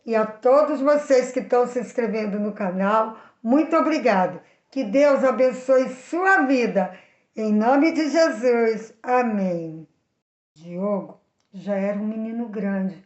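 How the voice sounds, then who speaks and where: A woman with a Brazilian accent telling a moral story for children in expressive narration. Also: fairly high pitch, slow pace. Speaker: children's storyteller and channel host. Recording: echoey room, slightly dull sound.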